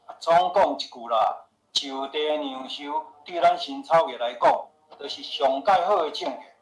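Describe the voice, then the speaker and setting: An actor with a Taiwanese accent playing an earnest, insistent pharmacy owner and worried homeowner in a radio drama. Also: male voice, a little high, unhurried, lightly acted. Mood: insistent, persuading. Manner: persuasive, plain-spoken, insistent. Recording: roomy echo with music behind.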